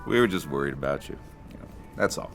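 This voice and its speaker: An actor playing an affable, folksy married man who teases and gently probes in a naturalistic audio drama. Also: a bass voice, animated, quick pace, creaky, music in the background, full character voice. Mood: teasing, casual. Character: affable married man offering a hitchhiker a lift, quietly probing.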